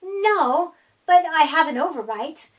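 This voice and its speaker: A woman voicing a polite, bookish male monster from a children's storybook, low and breathy, formal and easily offended. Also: expressive, takes it slowly, recorded from a distance. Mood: polite, earnest.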